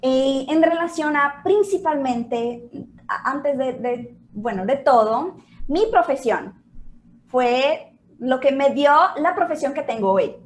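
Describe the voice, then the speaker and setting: A woman's voice: high-pitched, expressive, takes it slowly, a little hiss or hum. A Brazilian woman whose first language is Portuguese, a Spanish teacher speaking as a guest over a video call and searching for her words.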